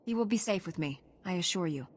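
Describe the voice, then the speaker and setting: An actress playing a calm, resolute heroine knight in a video game, composed and measured. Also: female voice, on the low side. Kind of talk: video game character acting.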